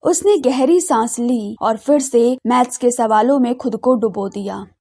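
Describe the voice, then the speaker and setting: Bright-- pitch+. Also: female voice, a little slow, slightly rough. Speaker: narrator of an audio drama. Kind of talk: scripted dramatic Hindi story narration.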